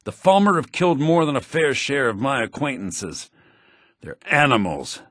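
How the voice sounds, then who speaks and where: A male actor playing a refined, earnest scholar-mage in a video game, speaking in an articulate, formal, measured way. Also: mid-range voice, very animated, a bit bright, no echo, studio quality. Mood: bitter. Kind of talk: video game character dialogue.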